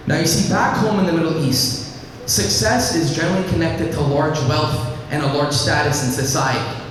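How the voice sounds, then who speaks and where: A teenage boy from Iraq, raised in Lebanon, giving an earnest personal speech to an audience and telling his story at a measured pace. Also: a little high, slight background noise. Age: teens. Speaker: student speaker. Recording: echoey hall, mic at a distance.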